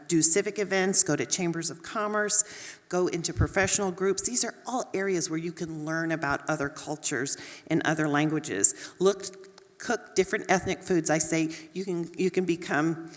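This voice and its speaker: A woman's voice: sits low, a bit bright. A woman with a Texas accent, a nursing dean speaking on a panel in an earnest, encouraging, conversational way. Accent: Texan. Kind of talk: panel talk. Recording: long hall reverb.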